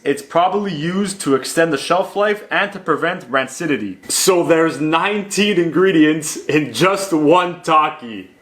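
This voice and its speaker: A man's voice: fairly high pitch, fairly bright tone, slight background noise. A man reading out an ingredient list with short explanations in an informal explainer.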